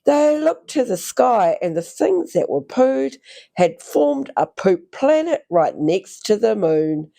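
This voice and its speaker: A woman reads a rhyming storybook aloud for young children. Her pace is unhurried and her pitch swings widely, with some creak in the voice. She draws out phrases theatrically. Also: very animated, dark tone. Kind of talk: expressive read-aloud of a rhyming picture book.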